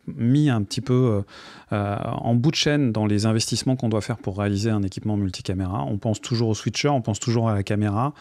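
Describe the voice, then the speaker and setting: A man's voice: medium pitch, a little mellow, no room sound, clean studio sound. A man giving a casual, enthusiastic product review to camera in an informal, colloquial way. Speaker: video creator reviewing gear.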